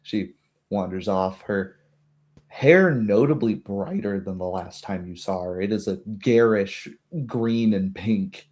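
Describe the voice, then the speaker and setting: A man runs a tabletop game as its game master. His voice is relaxed and fairly low, and he improvises his narration with some hesitation. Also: takes it slowly.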